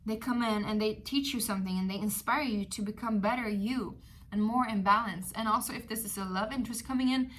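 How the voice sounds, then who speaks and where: A woman's voice: mid-range voice, a little fast, fairly bright tone, a little hiss or hum. A woman with a Swedish accent, Swedish her first language, giving a casual, chatty tarot reading with many fillers. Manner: chatty, rambling, many fillers, laughs often. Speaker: tarot reader.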